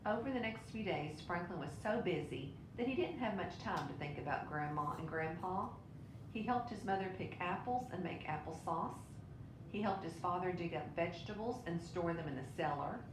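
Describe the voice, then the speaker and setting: A woman reading a children's picture book aloud in an expressive way. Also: normal speed. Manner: expressive, with brief asides to listeners. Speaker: storybook reader. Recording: roomy echo, clear low rumbling noise.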